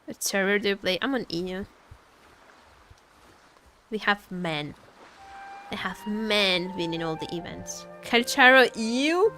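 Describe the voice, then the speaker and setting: A woman's voice: medium pitch, unhurried, pauses often, bright, forceful tone. A woman game streamer chatting casually with viewers in a playful, teasing way as she reads and answers their comments. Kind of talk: casual livestream chat with gaming commentary. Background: background music.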